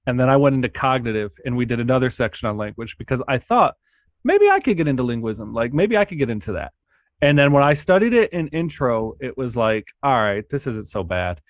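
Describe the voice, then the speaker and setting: Male podcast host, relaxed, filler-heavy speech, mid-pitched voice. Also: fairly quick, no room sound, lo-fi sound. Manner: relaxed, lots of "like" fillers, rises in pitch when excited. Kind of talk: casual chat.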